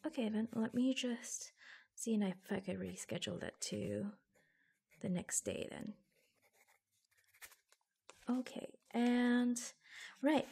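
A woman acts out a reassuring, affectionate secretary in an ASMR roleplay. Her voice is soft and breathy, often falling to a whisper, with a crackle of vocal fry. She takes her time, hesitating gently between short phrases. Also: mid-range voice, heavily acted. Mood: soft businesslike.

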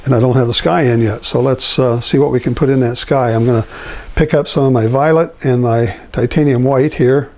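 Older man with a raspy, warm voice. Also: medium pitch, fairly even intonation. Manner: unhurried, halting, with frequent filler pauses. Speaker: painting instructor. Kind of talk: live painting lesson, talking while painting. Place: indoor art studio.

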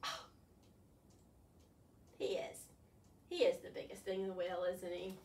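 A woman's voice: fairly low pitch, flat delivery, unhurried, darkish tone. A woman in her sixties leading a storytime for young children as a librarian, with exaggerated wonder and coaxing questions. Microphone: distant camera microphone. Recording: roomy, distant camera mic, faint noise.

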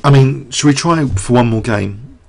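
A middle-aged man commentating live on a chess game, talking through the moves and quizzing his viewers, his voice getting croaky. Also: mid-range voice, normal speed, a little mellow.

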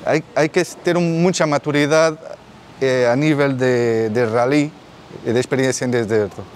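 A man's voice: mid-range voice, fairly even intonation, moderate pace, a little mellow, a little hiss or hum. A man in his forties from Portugal with a Portuguese accent, answering interview questions outdoors in an earnest way, restarting and repeating words as he searches for phrases. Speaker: rally rider guest. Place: outdoor courtyard with artificial grass.

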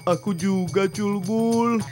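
A man's voice: sits high, takes it slowly. A man voicing a goofy, eager magical donkey in a dubbed children's cartoon, with a showy way of talking. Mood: uneasy. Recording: loud music underneath.

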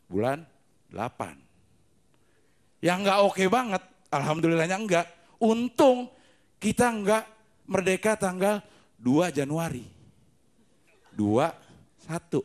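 A middle-aged man doing stand-up on a TV studio stage, using deadpan wordplay into a handheld mic. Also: high-pitched, slow pace, pauses often. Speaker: stand-up comedian. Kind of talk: stand-up comedy set.

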